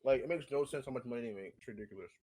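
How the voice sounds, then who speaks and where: A young American man co-hosting a podcast in casual, slangy group chat, telling things in an animated storytelling way. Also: mid-range voice, rapid-fire, husky. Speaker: podcast co-host.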